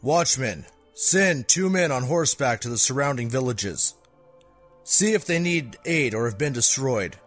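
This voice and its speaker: A man's voice: mid-range voice, animated, a little slow, fairly bright tone. A man playing a loyal, stern royal guard in an audio drama, formal and commanding with clipped phrasing. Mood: commanding, urgent. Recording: faint music behind the voice.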